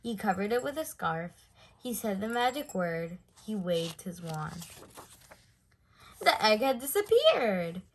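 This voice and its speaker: A woman reading a children's story aloud in an expressive way, stressing words for effect. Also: mid-range voice, takes it slowly. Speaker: storybook reader.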